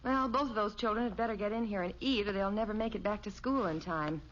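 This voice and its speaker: An actress playing a brisk, no-nonsense middle-aged American mother in a radio sitcom. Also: mid-range voice, natural voice. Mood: practical. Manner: brisk, practical. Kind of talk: 1950s radio sitcom acting. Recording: muffled old radio recording, faint hiss.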